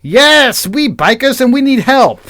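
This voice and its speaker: A man's voice: very high voice, sing-song, unhurried, pushed and bright, pitched above the performer's own voice, heavily acted. A man voicing an earnest, stranded biker with a stilted delivery in a comedy sketch.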